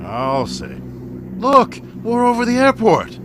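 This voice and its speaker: Slangy Hollywood press agent, cynical, high male voice, animated, old radio drama. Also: slow, full character voice. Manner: dry, slangy, dismissive. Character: cynical, brisk Hollywood publicity man. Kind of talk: classic 1940s radio drama acting. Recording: loud engine noise behind.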